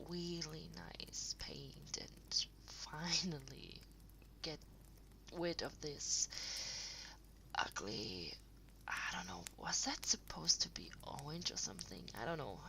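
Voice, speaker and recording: A woman playing an affectionate partner in an intimate audio roleplay, rambling softly and hesitantly to the listener at home. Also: deep voice, heavily acted, poor audio. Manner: soft, breathy, hesitant rambling with trailing pauses.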